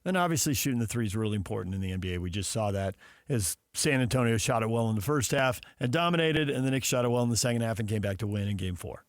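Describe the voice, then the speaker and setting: A man's voice: mid-range voice, lively intonation, quick pace, no room sound, clean studio sound. A man hosting sports talk radio, discussing in a casual, opinionated way.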